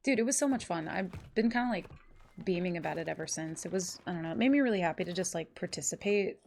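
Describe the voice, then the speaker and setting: A woman's voice: lowish voice, expressive, fast talker, vocal fry, a little mellow, a little hiss or hum. Stuffy-voiced woman streamer, rambling chat. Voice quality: nasal, congested. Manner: rambling, lots of fillers and swearing. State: stuffy nose. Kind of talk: casual live-stream chat, reading chat aloud.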